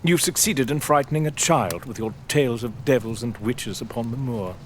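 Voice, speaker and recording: A man's voice: medium pitch, moderate pace, slight background noise, natural voice. A man acting a haughty, sceptical manor lord in a period audio drama, with pompous, commanding and formal diction. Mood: condescending.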